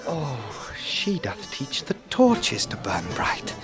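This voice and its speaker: A smitten, poetic young gentleman in a Shakespearean audio play. He speaks slowly and lyrically at a medium pitch, with music clearly playing behind him. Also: huge pitch swings, heavily acted. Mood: awestruck, smitten. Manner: lyrical, wondering. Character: lovestruck, poetic young nobleman. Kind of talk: Shakespearean audio drama acting.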